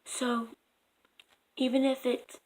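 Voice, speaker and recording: A young woman vlogging at home on her phone camera, speaking softly in a raspy, half-whispered morning voice. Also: high-pitched, little pitch movement, slow pace, low-quality recording. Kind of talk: casual advice vlog.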